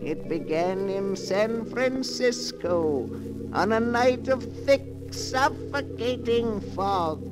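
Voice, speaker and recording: An actor playing a sinister old storyteller in 1940s radio horror, with a drawn-out, theatrical, gloating delivery. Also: male voice, high voice, expressive, over music. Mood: ominous narration. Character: sinister old keeper of a book of dark tales. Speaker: storyteller host. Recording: noisy 1940s radio recording.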